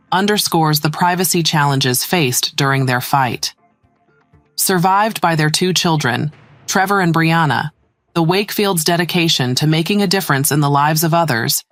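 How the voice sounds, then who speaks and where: A woman narrating scripted celebrity news in a measured, formal read-aloud manner. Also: sits low, no room sound. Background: background music.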